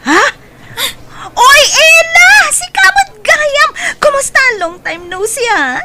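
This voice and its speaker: Woman playing a high, animated, envious young cousin. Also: slow pace, crowd noise, exaggerated voice. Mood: chatty. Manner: exclamatory, expressive. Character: hard-up, envious younger female cousin, chatty and pleading. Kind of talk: Ilocano comedy drama acting.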